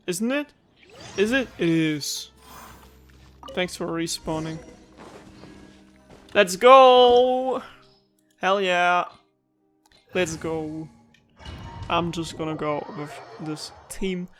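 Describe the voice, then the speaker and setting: A young man doing casual gameplay commentary in a chatty, excitable, rambling way. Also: a little high. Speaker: gaming YouTuber.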